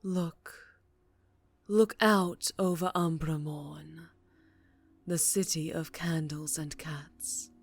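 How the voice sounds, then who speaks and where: A woman playing a cruel, imperious vampire mistress in an ASMR fantasy roleplay, mock-tender and menacing, with breathy whispers. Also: deep voice, fairly even intonation, drawn-out words, faint music behind, exaggerated voice. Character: cruel, imperious vampire mistress gloating over her witch apprentice.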